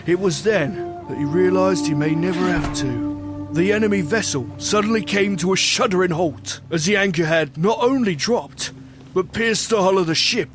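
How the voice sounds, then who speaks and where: A man's voice: high voice, moderate pace. A man narrating a scripted adventure story in a dramatic storytelling style. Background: music.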